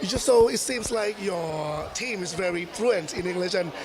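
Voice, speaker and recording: A man hosts a live event. His English is halting and he often repeats phrases. A busy crowd chatters clearly behind him. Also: high voice, music in the background. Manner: halting non-native English, repeats himself. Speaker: event host. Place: busy public forum venue.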